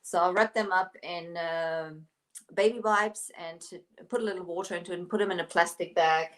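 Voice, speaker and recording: A woman from Germany with a German accent, English not her first language, hosting a casual live painting stream, pausing to search for words and greeting viewers. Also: fairly low pitch, fairly even intonation, a bit bright, echoey room. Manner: searches for words, restarts often, chats to viewers. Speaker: painting livestream host.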